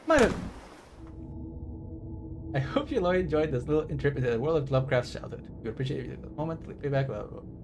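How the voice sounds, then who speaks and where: A man chatting casually on a live stream, rambling with swearing, fillers and restarts. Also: medium pitch. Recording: stream audio with game music behind.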